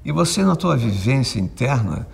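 A man in his seventies speaks calmly and philosophically. His voice is gravelly and husky. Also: medium pitch, moderate pace. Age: 70s. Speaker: interviewee, architect and psychologist.

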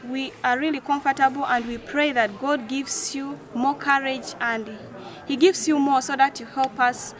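A young woman from South Sudan with a South Sudanese accent, presenting a school tour in earnest, halting phrases on noisy location audio. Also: high voice, fairly even intonation, a crowd in the background. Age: young adult. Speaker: student tour guide. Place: school grounds and rooms, outdoors and indoors.

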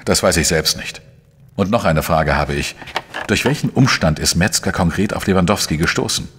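An actor playing a world-weary, wry male investigative journalist, narrating a crime audio drama in a dry, measured way. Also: sits low, animated, a bit bright, a little hiss or hum. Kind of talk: scripted first-person crime drama narration. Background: music.

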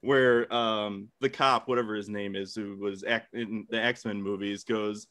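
A man's voice: lowish voice, steady tone, measured pace, fairly bright tone. A man in an informal comedic debate, arguing bluntly with casual swearing. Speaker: debate contestant. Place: home room, indoors.

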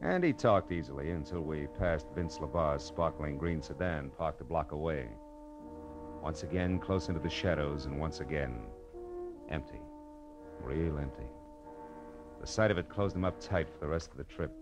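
An actor playing a tough, wry private detective in an old radio noir drama, clipped and sardonic. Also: male voice, rumbling low, moderate pace. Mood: brooding narration. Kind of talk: 1950s radio noir acting and narration. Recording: old radio recording with music behind.

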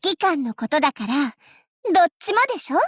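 Elegant young idol lady for anime game: very high, swooping delivery, proud, teasing, quick to fluster. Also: lively intonation, a bit bright, no room sound, clean studio sound. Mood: playful, composed. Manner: refined ladylike speech, teasing and theatrical.

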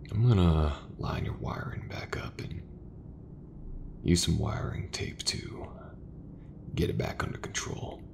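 A man playing an easygoing, folksy sci-fi mechanic in a soft, breathy, reassuring ASMR roleplay voice. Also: very deep voice, background noise, heavily acted. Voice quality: gravelly, breathy, half-whispered. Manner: slow, unhurried, reassuring, colloquial. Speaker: voice actor.

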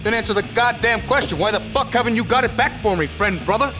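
An actor playing a cocky, sardonic, foul-mouthed biker gang boss in a video game, with a mocking, swaggering manner. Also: male voice, very high voice, fast talker, music in the background. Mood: menacing. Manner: mocking, swaggering, swinging from fake meekness to menace. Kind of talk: video game cutscene acting.

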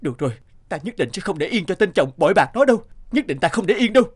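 A man voicing an earnest, righteous young official devoted to his sweetheart in a dramatic animated folktale. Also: sits high, racing, breathy edge, exaggerated voice. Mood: vowing justice.

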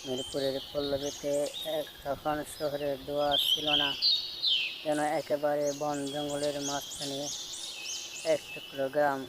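A man's voice: fairly high pitch, completely flat delivery, a little slow, very noisy. A man with a Bangladeshi accent narrating a scripted horror story. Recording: loud outdoor nature sounds behind voice.

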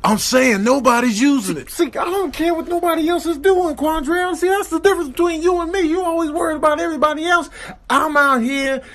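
A man's voice: very high-pitched, a bit bright, voice pushed up. A man voicing a defensive, self-righteous young boy in a comedic voiceover, emphatic and argumentative. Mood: defiant. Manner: emphatic, argumentative, rapid bursts.

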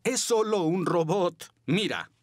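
A man voicing a jolly, warm-hearted father pig in a children's cartoon, playful and reassuring. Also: sits high, expressive, slow pace, slight background noise.

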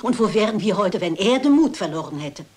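An actress playing a shrewd, composed elderly lady detective in a German radio play, polite, measured and probing. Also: medium pitch, big pitch swings, a little mellow, close to an everyday voice. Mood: kindly, firm. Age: older.

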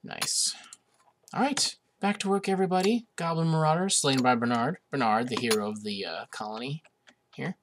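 A man's voice: medium pitch, animated, moderate pace, noisy background. Man with a cold, chatting over gameplay. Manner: chatty, hesitant with many uhs, amused. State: getting over a cold, sniffly. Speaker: gaming video host. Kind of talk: casual let's-play commentary.

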